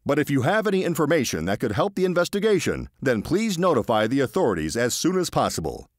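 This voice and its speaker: A man's voice: medium pitch, sing-song, fast, dry recording, clean studio sound, voice pushed up, close to an everyday voice. A man voicing a male radio newsreader with a formal bulletin delivery.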